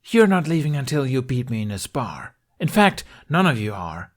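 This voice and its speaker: A man's voice: mid-range voice, very animated, moderate pace, voice pushed up, natural voice. A man voicing a fierce, exasperated young woman knight in an audiobook, stern and commanding.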